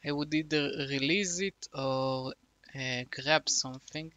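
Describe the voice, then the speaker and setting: A man slowly talking through a coding tutorial, thinking aloud with many fillers. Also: a little high, fairly even intonation, bright, forceful tone, dry recording. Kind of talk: casual screen-recorded coding tutorial.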